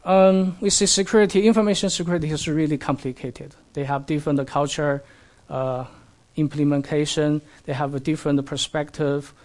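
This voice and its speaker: A man whose first language is Chinese, giving an academic lecture in halting English with many fillers and asking the audience questions. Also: a little high, fairly even intonation, darkish tone. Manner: halting non-native English with frequent fillers.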